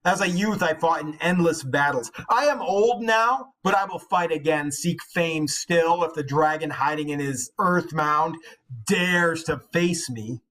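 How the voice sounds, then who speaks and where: Casting: male reader as aged hero king, boastful and resolute, declaiming epic verse slowly, raised pitch, bright forceful tone. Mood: defiant boast. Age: older. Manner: slow, emphatic, declamatory. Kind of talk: dramatic epic poetry reading.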